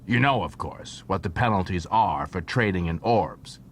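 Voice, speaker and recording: A man's voice: animated, normal speed. A man voicing a stern, sceptical station constable with a formal, clipped, interrogating manner in an audiobook. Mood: stern, probing. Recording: noisy track with low rumble.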